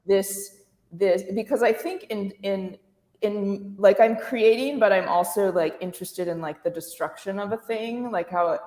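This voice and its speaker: A woman artist in casual, reflective conversation, animated, quoting her own thoughts and searching for words, recorded in a reverberant room. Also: medium pitch, unhurried. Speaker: artist being interviewed. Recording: echoey room with long reverb.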